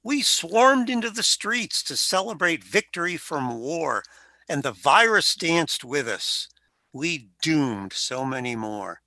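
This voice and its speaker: An actor playing the ghost of a World War One veteran, telling his story slowly in an amateur radio play. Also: on the high side, very animated, pushed and bright, no room sound, exaggerated voice. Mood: rueful.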